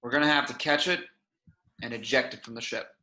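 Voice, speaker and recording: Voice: mid-range voice, fairly even intonation, fairly quick, pushed and bright, natural voice. An actor in an amateur table read playing a terse, businesslike male spaceship captain. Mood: terse, grave.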